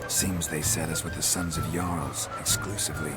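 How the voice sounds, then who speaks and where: An actor playing a gruff, deadpan monster hunter with a deep, gravelly voice and a terse, dry delivery in a video game. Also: male voice, moderate pace, loud music behind the voice. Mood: matter-of-fact.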